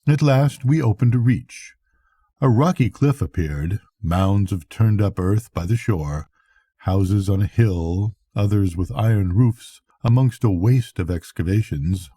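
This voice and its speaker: An older man reading a literary bedtime story in measured phrases with pauses. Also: low-pitched, warm tone, breathy edge, dry recording. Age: older, a grandfather. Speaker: audiobook reader.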